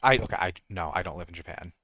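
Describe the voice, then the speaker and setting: A man's voice: sits low, fast, pushed and bright, a little hiss or hum. A man with an American accent chatting casually as a game streamer, rambling and jokey, often correcting himself mid-thought. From United States. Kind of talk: casual livestream chat.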